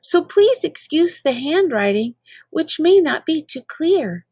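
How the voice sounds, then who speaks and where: Woman, high-pitched, unhurried, playful dramatic read-aloud of children's verse, drawn-out emphatic words, close dry sound. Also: big pitch swings, a little mellow, no room sound. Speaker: reader of children's poems.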